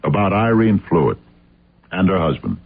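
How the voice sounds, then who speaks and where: An actor playing a cocky, nonchalant television detective star in a radio drama, with a husky voice. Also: male voice, low voice, big pitch swings, normal speed, full character voice. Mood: casual, pleased. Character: cocky male TV detective star lost in his role. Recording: tinny old radio drama recording.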